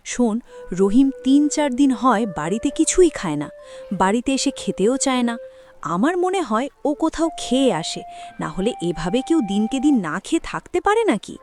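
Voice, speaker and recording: Animated, sharp-tongued cruel stepmother played by a woman. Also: fairly high pitch, moderate pace, soft background music. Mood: scheming. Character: cruel scolding village stepmother. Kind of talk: cartoon story voice acting.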